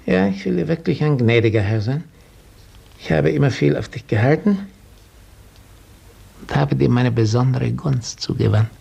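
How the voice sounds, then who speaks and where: An actor playing a condescending aristocratic count in a 1950s German radio drama, with a gravelly, husky voice and a measured, lordly manner. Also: male voice, medium pitch, mellow. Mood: lordly, magnanimous. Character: condescending aristocratic count, master over serfs.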